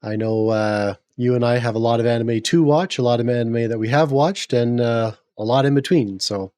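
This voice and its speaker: Man getting over a cold, a little gravelly. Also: mid-range voice, moderate pace, warm tone, no echo, clean studio sound. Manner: opinionated, rambling with repeated restarts. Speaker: podcast host. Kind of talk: casual podcast chat.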